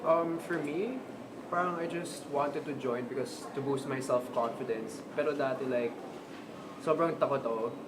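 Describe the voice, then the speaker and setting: A man in his twenties with a Filipino accent, a pageant runner-up speaking in a soft, shy way. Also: medium pitch, moderate pace, background noise. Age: 20s. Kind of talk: interview answers.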